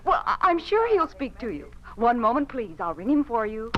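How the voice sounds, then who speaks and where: A woman acting in a 1950s radio drama as a busy switchboard operator with brisk, singsong, clipped politeness. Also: a little high, sing-song, noisy background. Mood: brisk, polite. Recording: dull old radio recording, overlapping voices.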